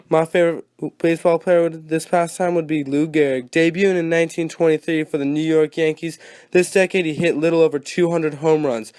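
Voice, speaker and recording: Man playing a higher-pitched, eager 1920s radio co-host. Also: a little mellow, no echo, close to an everyday voice. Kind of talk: 1920s radio broadcast acting with period slang.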